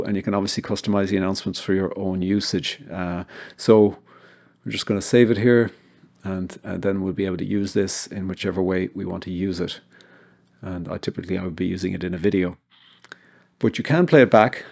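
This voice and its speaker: A man with a low, gravelly voice talks through an informal software tutorial. He hosts it himself, explaining each step in a conversational way, with noticeable fry in his delivery. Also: steady tone, fairly quick. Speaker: tutorial host.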